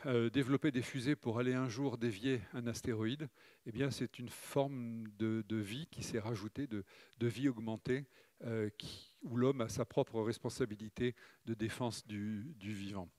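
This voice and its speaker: A middle-aged man from Provence gives a conference talk over slides, speaking in a measured way and pausing often with fillers. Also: medium pitch, darkish tone, no room sound. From Morocco, raised in Provence. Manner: measured, hesitant with frequent "euh" fillers.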